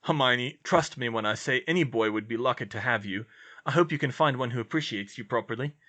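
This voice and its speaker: A man voicing a kind, steady teenage boy in a story, earnest and gently reassuring. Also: medium pitch, fairly quick.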